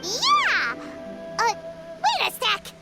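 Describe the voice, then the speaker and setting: A woman voicing a small, chirpy fairy companion in a video game, excitable and outspoken. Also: extremely high pitch, drawn-out words, full character voice. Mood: protesting. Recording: studio voice with loud game music.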